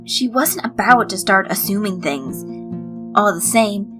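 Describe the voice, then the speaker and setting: A woman reading a scripted story as narrator, in an expressive storytelling style. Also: mid-range voice, a little slow. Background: light background music.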